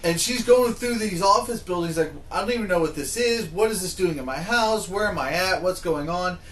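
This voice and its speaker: A man's voice: high-pitched, brisk, a bit bright, rough recording. A man in a casual panel discussion, talking informally and arguing through examples. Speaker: podcast co-host. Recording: faint background noise.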